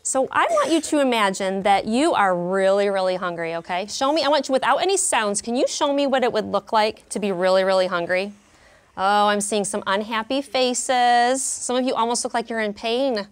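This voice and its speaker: A woman in her forties teaching a class of young children, speaking slowly and expressively in an elementary classroom. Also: medium pitch. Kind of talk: classroom read-aloud and teaching.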